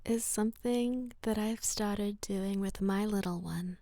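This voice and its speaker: Woman doing guided sleep meditation, really slow n gentle, breathy almost whispering, bit of vocal fry. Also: mid-range voice, dry recording. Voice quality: soft, breathy, often near-whispering. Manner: gentle, unhurried, with long pauses. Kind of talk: slow, soothing guided relaxation.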